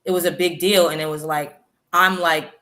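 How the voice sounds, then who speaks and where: Rapper guest, female, 30s, low pitch, lively storyteller. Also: moderate pace. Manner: animated, chatty storyteller. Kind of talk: interview storytelling.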